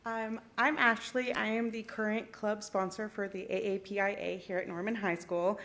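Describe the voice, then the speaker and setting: A woman, a high school club sponsor, briefly introducing herself at a city council meeting in a casual, slightly hesitant way. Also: little pitch movement, normal speed, a bit bright, slight background noise.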